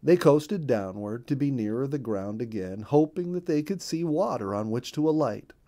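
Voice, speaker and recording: A man narrating a children's story as a storyteller, with emphatic, deliberate phrasing. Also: mid-range voice, expressive, dark tone.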